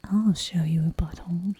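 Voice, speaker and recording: Breathy whispering woman, dark and low. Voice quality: soft whisper throughout. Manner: giggly, rambling, playful asides. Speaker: ASMR livestreamer. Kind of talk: whispered ASMR chat while drawing.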